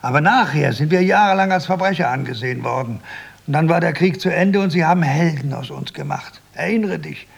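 An actor playing a grumpy, pedantic eighty-year-old husband, querulous and self-important, in a German radio play. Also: male voice, on the high side, brisk, creaky, husky. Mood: self-important, grumbling. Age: older.

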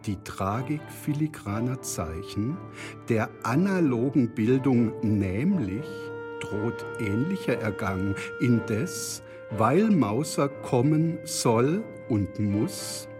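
A man's voice: medium pitch, expressive, slow, music in the background. A man reciting dense poetry as a solemn reader in a literary radio play. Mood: solemn, deliberate.

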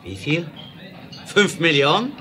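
An actor playing a hot-tempered, blustering American railroad magnate in a 1970s German radio play, exclamatory and given to flustered stammering. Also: male voice, on the high side, big pitch swings, slow pace, background noise. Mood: outraged.

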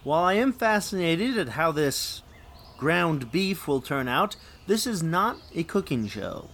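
Sarcastic, grumbling comedy sidekick, played by a man: high, very animated male voice, faint background noise. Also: a little slow, heavily acted. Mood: dry, mocking. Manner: dry, complaining. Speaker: comic sidekick. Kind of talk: scripted comedy skit.

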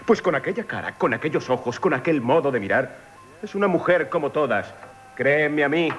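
An actor playing an irascible, woman-hating, gruff gentleman with a Castilian Spanish accent in a theatrical radio play. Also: fairly high pitch, animated, a little fast, lightly acted. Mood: scornful. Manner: blustering, exclamatory, theatrical. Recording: faintly noisy 1970s radio drama recording.

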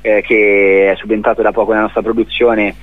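A man from Italy giving a spontaneous radio interview as a theatre director, speaking haltingly and repeating words as he searches for phrasing. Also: lowish voice, flat delivery, no echo, narrow, tinny audio.

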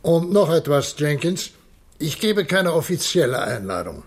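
Sixties radio-play president: brisk, commanding, higher male register, very animated pitch. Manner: curt, authoritative. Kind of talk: 1960s German radio play acting.

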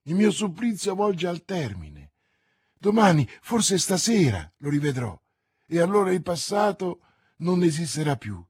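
A man's voice: sits high, animated, normal speed, darkish tone, breathy edge, no echo, clean studio sound, voice pushed up, exaggerated voice. A man voicing an anxious, lovestruck young woman, proud and trusting, as a character in an audiobook. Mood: elated, proud. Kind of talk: audiobook character voice, classic novel.

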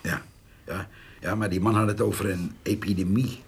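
A man's voice: low voice, steady tone, normal speed, creaky, slightly rough, heavily acted. An actor in a radio drama playing a chatty, long-winded old village handyman who hesitates and loves to gossip. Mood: hesitant, concerned. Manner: long-winded, hesitant, chuckling rural storyteller.

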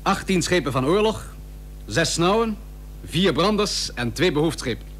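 A man playing a haughty, argumentative admiralty gentleman in a historical radio drama, formal and pressing. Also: a little high, lively intonation, normal speed. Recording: noisy old radio recording with hum.

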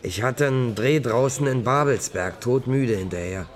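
A man's voice: mid-range voice, normal speed, lightly acted. A man playing a troubled, conscience-stricken actor colleague in a German radio drama, probing and reflective. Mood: haunted, recollecting.

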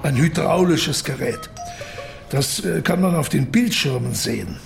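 An actor playing an eccentric, zealous intellectual who lectures emphatically in rhetorical questions in an audio drama. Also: male voice, on the high side, expressive, measured pace, creaky, heavily acted. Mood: insistent, explaining. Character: eccentric male intellectual, zealous expert explaining an artwork. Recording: music playing behind the voice.

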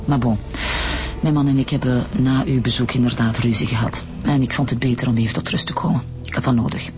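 A woman's voice: a bass voice, steady tone, a little fast, over music. Give me a proud, defensive Flemish woman. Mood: defensive, composed. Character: proud, defensive married woman under police questioning. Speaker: actress playing a suspect. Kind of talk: radio drama dialogue.

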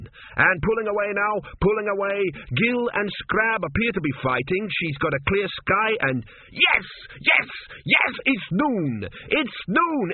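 A man voicing an excitable, gushing priest who delivers events like a sports commentator in a comic storybook. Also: high voice, narrow, tinny audio, slight background noise, pitched above the performer's own voice.

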